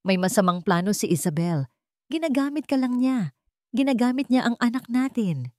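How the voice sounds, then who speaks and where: A woman's voice: on the high side, lively intonation, normal speed, a little mellow, no echo, voice pushed up, natural voice. An anguished, loving mother from a melodramatic story, acted by a woman narrator. She speaks emotionally and pleadingly, in a very dry recording. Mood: desperate pleading.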